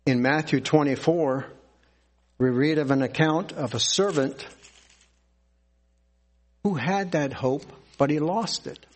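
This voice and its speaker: A man preaching a sermon, reading scripture aloud and explaining it in a deliberate, earnest way. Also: on the high side.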